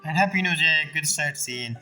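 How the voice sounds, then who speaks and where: Bright, low-voiced woman streaming a game. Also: moderate pace, low-quality recording. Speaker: game streamer reacting to a story quest. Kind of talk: casual gameplay commentary. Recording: game music behind.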